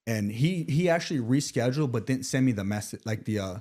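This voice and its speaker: A man's voice: medium pitch, expressive, a little fast, mellow. Male podcast host from Arizona, mid-range voice, loose rambling delivery, jokey interviewer. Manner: rambling, filler-heavy, loose and joking. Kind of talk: casual interview chat.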